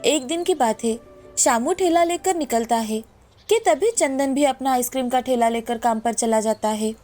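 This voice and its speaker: Woman narrator for moral stories, notably high voice, scripted delivery over faint music. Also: normal speed, darkish tone. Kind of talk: scripted children's-tale narration.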